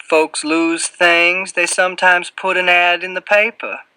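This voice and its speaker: A man voicing a huge, sly, taunting fat man with a slow, insinuating Southern drawl in an audiobook. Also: high-pitched, level tone, bright, forceful tone, clear, resonant tone, dry recording, voice pushed up, full character voice. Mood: insinuating, leering. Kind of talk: audiobook character voice.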